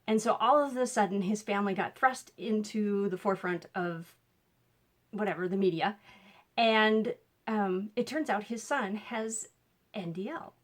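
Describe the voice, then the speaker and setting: A woman's voice: medium pitch, measured pace. A woman with a Minnesotan accent, a coach talking casually to camera about learning differences, chatty and upbeat with small laughs and fillers. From Minnesota, USA. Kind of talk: casual direct-to-camera talk.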